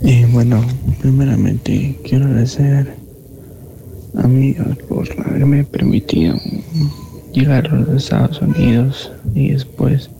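A man's voice: mid-range voice, fairly even intonation, measured pace, creaky. A man from Ecuador, calling in to give an earnest, grateful testimony that slips at times into whispers. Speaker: caller giving a testimony. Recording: dull phone line with background noise.

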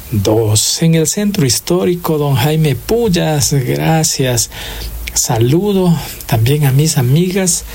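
Ecuadorian radio man, higher-pitched, effusive. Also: darkish tone, a little hiss or hum. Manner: effusive, sentimental, patriotic. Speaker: radio host of a traditional music show. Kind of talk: radio presenting with listener greetings and song introductions.

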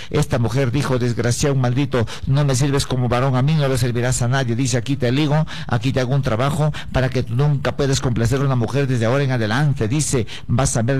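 A man's voice: mid-range voice, a little mellow. A man giving live psychic consultations to listeners, speaking in an emphatic, repetitive, preacher-like way. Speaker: radio psychic answering letters.

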